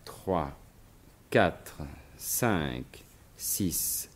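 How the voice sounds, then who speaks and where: An actor playing a witty gentleman burglar in a 1950s-style French radio drama, murmuring in an absorbed, teasing way. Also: low-pitched, lively intonation, extremely slow, heavily acted. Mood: absorbed counting.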